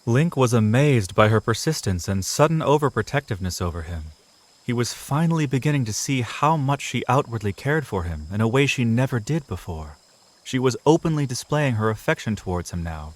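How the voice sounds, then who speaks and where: A man reading scripted narration for a fantasy audio drama, in a storyteller's style. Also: on the low side.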